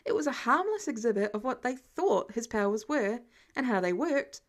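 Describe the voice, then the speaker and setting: A woman's voice: a little high, lively intonation, normal speed. A woman narrating a story from a script, as an audiobook reader.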